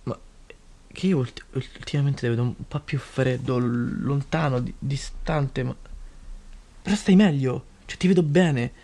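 A man's voice: mid-range voice, unhurried, dark tone. An actor playing an anxious, stressed young man with a Roman accent, whiny and stammering as he begs a friend for advice. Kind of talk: scripted comedy sketch.

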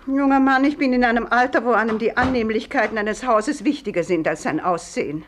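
A woman's voice: fairly high pitch, fairly quick, slight background noise, natural voice. An actress playing an imperious, fault-finding older woman, stiffly formal and disapproving, in a 1950s German radio play.

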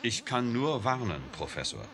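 An actor playing an alarmed, stubborn scientist in a German radio play, speaking insistently and emphatically. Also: male voice, medium pitch, sing-song, normal speed, crowd noise. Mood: grim warning. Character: alarmed, stubborn male scientist warning against a computer.

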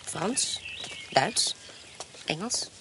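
An actress playing a self-assured, decisive and protective aunt and adventuress in a Dutch radio drama. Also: female voice, on the low side, expressive, drawn-out words, noisy background. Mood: brisk, firm. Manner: decisive, commanding.

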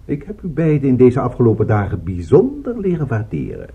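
An actor playing a courteous, coolly polite villain in a radio drama, speaking formally with smooth regret. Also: male voice, mid-range voice, huge pitch swings, brisk, narrow, tinny audio, full character voice. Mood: formal, regretful.

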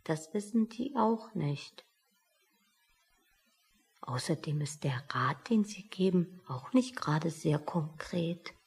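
Husky, wry, questioning woman. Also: sits low, lively intonation, slow, long pauses, darkish tone, exaggerated voice. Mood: wry, doubtful. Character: questioning, wry woman waiting outside a meeting. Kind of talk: radio play acting.

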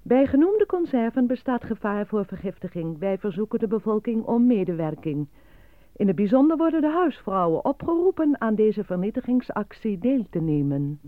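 An actress playing an official radio announcer, reading public warnings in a formal, measured manner. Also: female voice, mid-range voice, very animated, dry recording, lightly acted. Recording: dry, narrow, phone-like radio sound.

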